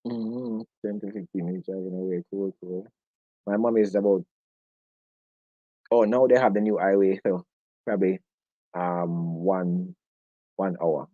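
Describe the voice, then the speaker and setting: A man's voice: deep voice, measured pace, pauses often, no echo. A man with a Jamaican accent hosting a casual live discussion, joking and laughing often with the viewers. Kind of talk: casual live-stream chat. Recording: dull lo-fi sound.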